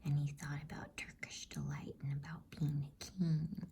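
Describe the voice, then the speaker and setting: A woman's voice: sits low, little pitch movement. Whispering woman reading a bedtime story. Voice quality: breathy unvoiced whisper. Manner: soothing, hushed, with deliberate pauses. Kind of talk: whispered ASMR storybook narration. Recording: faint background noise.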